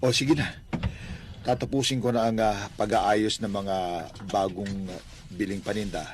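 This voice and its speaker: A man's voice: fairly low pitch, steady tone, unhurried, close to an everyday voice. An actor playing a devout, kindly Filipino father who runs a small store, earnest and fatherly, in a radio drama. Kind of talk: radio drama acting in Tagalog. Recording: noisy radio broadcast playback.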